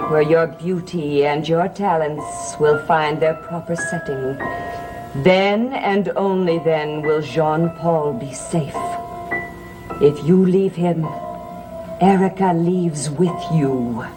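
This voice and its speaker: Gothic soap authority: lowish, slow, solemn, hypnotically commanding, music underneath. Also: female voice, rough recording. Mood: hypnotic, commanding. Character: stern, knowing woman commanding like a hypnotist. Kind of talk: gothic soap opera acting.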